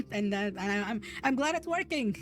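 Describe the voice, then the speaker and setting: A woman's voice: fairly high pitch, lively intonation, normal speed. A woman hosting a chat show, speaking English with Spanish as her first language. She rambles, restarts her sentences and giggles often. Faint music plays underneath her voice. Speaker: podcast host. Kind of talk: casual streamed chat.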